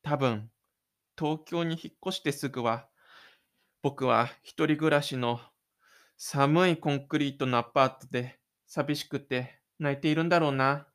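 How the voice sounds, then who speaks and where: A man's voice: medium pitch, unhurried, a bit bright, clear, resonant tone, lightly acted. A man voicing a shy, stubborn, lonely teenage schoolboy in an introspective audio drama monologue. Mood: lonely, anxious.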